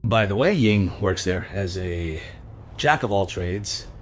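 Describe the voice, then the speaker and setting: An actor playing a gossipy tobacco shop keeper who rambles colloquially in a crime radio play. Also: male voice, deep voice, expressive, slow pace, slight background noise. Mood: gossipy, animated. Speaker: voice actor in a crime audio drama.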